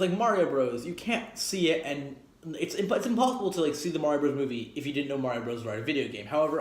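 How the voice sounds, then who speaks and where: Echoey man rambling through an opinionated review. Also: mid-range voice. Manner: rambling, self-correcting, emphatic. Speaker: video game movie reviewer. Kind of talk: casual opinionated spoken review. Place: echoey spot up by a street. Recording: echoey, noisy, near street traffic. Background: traffic and engine noise.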